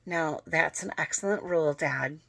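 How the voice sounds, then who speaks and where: A woman's voice: deep voice, normal speed, creaky, a bit bright, exaggerated voice. A woman voicing a prim, know-it-all little sister in a read-aloud story.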